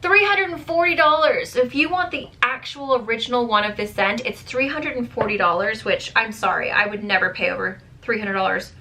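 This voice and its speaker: A woman reviewing beauty products to camera in a chatty, rambling way, full of fillers, her voice strained and going hoarse. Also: fairly high pitch, a little fast, few pauses, sharp tone, a little hiss or hum, low-quality recording. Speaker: beauty vlogger.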